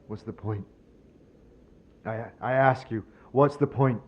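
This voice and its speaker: A man's voice: medium pitch, slow, muffled recording, a little hiss or hum, close to an everyday voice. A man acting a bitter, despairing man who has lost all faith, brooding and sardonic, in a fantasy audio drama. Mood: haunted, despairing. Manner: brooding, sardonic, rhetorical questioning.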